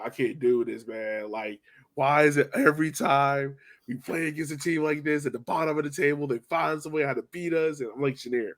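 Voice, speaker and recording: A man's voice: fairly high pitch, a bit bright. Man chatting casually about sports. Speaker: podcast host. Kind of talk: casual sports podcast chat, match recap.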